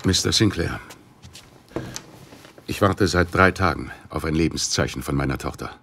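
An actor playing a middle-aged, worried businessman in an audio drama, speaking in a formal, measured way. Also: a bass voice, gravelly, noisy background. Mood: grave concern. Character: grave, worried Chinese businessman, father of missing daughter. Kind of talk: German radio play dialogue.

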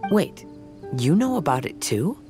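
A woman playing a calm, thoughtful young male wandering samurai in a video game, measured and polite. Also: low voice, over music. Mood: calm, curious. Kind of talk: scripted video game dialogue.

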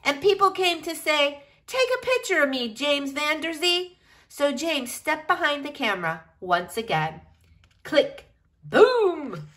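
A woman reading a picture book aloud in an expressive, deliberate storytelling style. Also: sits high. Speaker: reader of a children's picture book.

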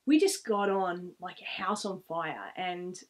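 A woman's voice: lowish voice, a little slow. Rambling Australian woman telling personal stories. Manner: rambling, reflective. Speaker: vlogger. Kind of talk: casual storytelling to camera. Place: small room at home, indoors, seated.

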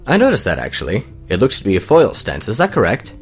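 An actor playing a calm, courteous young martial-arts student with a measured, formal manner in an audio drama. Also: male voice, fairly low pitch. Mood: calm, patient.

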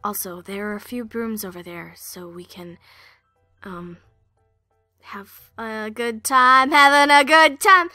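A woman's voice: on the high side, takes it slowly, bright, forceful tone, no room sound, faint music behind. A young woman amateur voice actor rambling casually between takes, saying "like" constantly.

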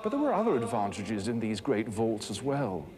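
A man in his thirties presenting a scripted educational documentary in a measured, articulate, expository manner. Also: lowish voice, sing-song. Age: 30s. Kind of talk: scripted educational narration on architecture. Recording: noisy old documentary film soundtrack.

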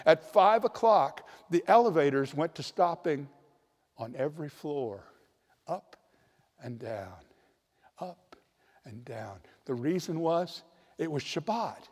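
An older man preaching a sermon with a storytelling manner, slowing down to stress key points, recorded in a large church on a handheld mic. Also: a little high. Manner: storytelling, varied emphasis, slows for key points. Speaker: priest. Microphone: handheld microphone. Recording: long church reverb.

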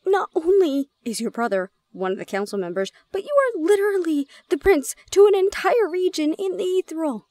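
A woman playing a shy, easily flustered young gorgon student in an audio roleplay, speaking in halting, exclamatory questions. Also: very high voice, big pitch swings, mellow, no echo. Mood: flustered, incredulous. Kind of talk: audio roleplay drama acting.

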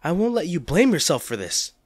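A man's voice: a little high, sing-song, a little fast, no room sound, clean studio sound. A man playing a devoted, protective partner in an intimate audio drama, tender and reassuring as he comforts an injured loved one. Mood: firm, upset. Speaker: audio roleplay performer.

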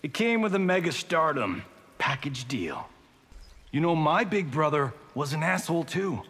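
A flippant Hollywood show-off in a fighting game, American and cocky. The voice sits fairly high with a husky edge and swoops expressively in a long reverb. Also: male voice, big pitch swings, moderate pace, fairly bright tone, exaggerated voice. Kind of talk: video game fight intro dialogue.

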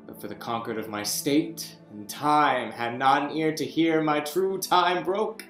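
A man's voice: on the high side, reverberant, soft background music. A man playing a brooding jailed king. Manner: slow, swings from hushed whispers to outbursts. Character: deposed imprisoned king, brooding and bitter. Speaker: actor in a staged reading. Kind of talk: Shakespeare reading over video call. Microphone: video call webcam.

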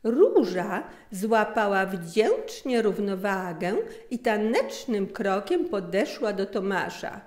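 A middle-aged woman narrating a scripted story in an unhurried, expressive manner. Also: medium pitch. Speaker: author and narrator. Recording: roomy, echoing sound.

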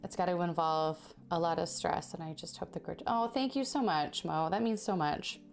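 A woman in her thirties with a low voice talks casually to her livestream chat as she stitches. She is chatty, laughs often and has a slightly sore throat. Faint music plays behind her. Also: normal speed, vocal fry. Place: indoor home craft room.

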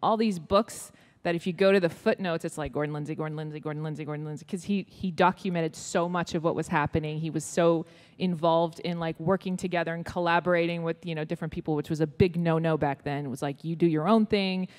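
A woman in her forties with an American accent and a lowish voice, speaking into a handheld mic on a large stage. She talks candidly and pokes fun at herself, with frequent ums along the way. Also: steady tone, fast, few pauses, a little mellow. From Israel. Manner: candid, self-deprecating, many fillers. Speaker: ministry leader, guest. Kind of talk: onstage interview.

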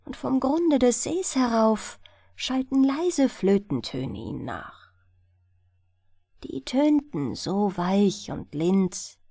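Woman reading bedtime fairy tale, slow n soothing, higher voice, expressive storyteller. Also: breathy edge, no echo, clean studio sound. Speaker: audiobook narrator.

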